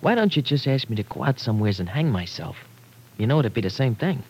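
An actor playing an evasive, slangy errand runner in an old-time radio drama, stammering in streetwise slang. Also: male voice, mid-range voice, very animated, fast talker, darkish tone, breathy edge, low-quality recording. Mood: defensive, insistent. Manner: streetwise slang, hesitant and stammering under pressure.

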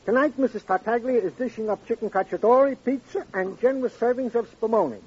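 An actor playing a friendly, comically formal police sidekick with florid phrasing and a husky voice in an old radio drama. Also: male voice, very high voice, fast, a little mellow, exaggerated voice. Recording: dull old radio recording.

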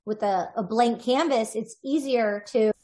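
A woman's voice: a little high, a little slow, mellow. A woman voiceover artist, a podcast guest, talking in a reflective, rambling way with many restarts. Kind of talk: casual conversational interview.

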